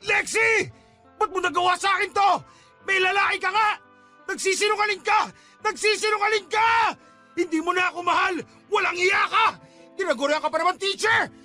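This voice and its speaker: A man acting an enraged, betrayed husband in a radio drama, shouting accusations hysterically. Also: extremely high pitch, fairly even intonation, moderate pace, faint music behind, exaggerated voice. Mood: hurt rage. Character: betrayed, enraged husband shrieking at his wife.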